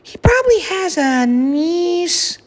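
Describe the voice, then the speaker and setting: A man doing a gentle, high-voiced storybook mother. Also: very animated, takes it slowly, exaggerated voice.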